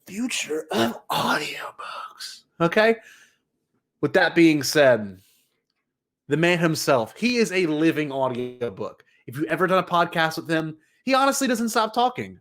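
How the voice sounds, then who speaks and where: A male podcast host with a fairly high voice for a man. He talks casually and rambles through his thoughts, joking and playfully hyping things as he goes. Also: animated, a little slow, a bit bright.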